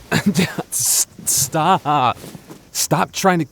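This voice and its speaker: A man's voice: on the high side, very slow, vocal fry, fairly bright tone, a little hiss or hum, exaggerated voice. A man playing a clingy, jealous boyfriend in an intimate audio roleplay, stammering and mock-indignant but affectionate. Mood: flustered, indignant.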